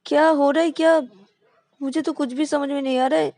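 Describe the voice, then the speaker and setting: A woman's voice: high-pitched, fairly even intonation, a little slow, warm tone, pitched above the performer's own voice. A woman voicing a puzzled young man who exclaims in confusion on a phone call. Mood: bewildered. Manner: bewildered, exclaiming.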